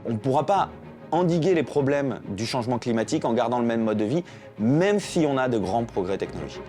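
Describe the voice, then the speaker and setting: A man's voice: medium pitch, animated, a little fast, over music. A man giving analytical, argumentative commentary in an interview for a documentary.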